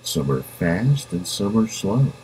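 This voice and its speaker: Man, mellow low voice, playful storybook read-aloud, sing-song phrasing, faint background noise. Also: big pitch swings, slow, poor audio. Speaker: reader of a children's book. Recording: home recording with background noise.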